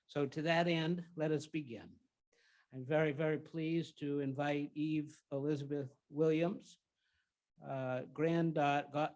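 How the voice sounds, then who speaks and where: A man at a ceremony podium giving a formal address in a measured, deliberate way, slowing down for emphasis before introducing the next speaker. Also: mid-range voice, a little mellow.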